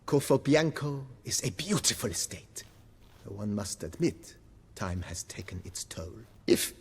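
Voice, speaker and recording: An actor playing a formal, eager, courteous majordomo of a country estate, with a pompous, deferential manner, in a theatrical video game style. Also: male voice, mid-range voice, darkish tone, slightly rough.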